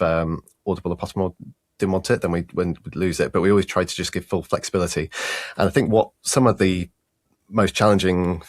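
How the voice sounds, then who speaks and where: A man talking casually as a sound designer in an interview, in halting, loose phrases. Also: low-pitched, no room sound, clean studio sound.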